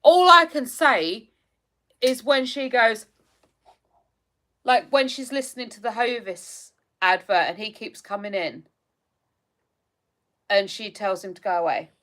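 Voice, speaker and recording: A woman's voice: on the high side, unhurried, pauses often, pushed and bright. An English woman in her thirties hosting a livestream, chatting casually and reacting to viewer comments. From England. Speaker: livestream host.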